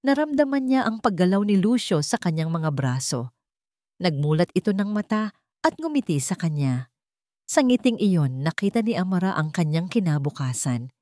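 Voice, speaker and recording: A woman narrating a scripted dramatic story in a measured, storytelling manner. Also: on the low side, very animated, mellow, no echo, clean studio sound. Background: background music.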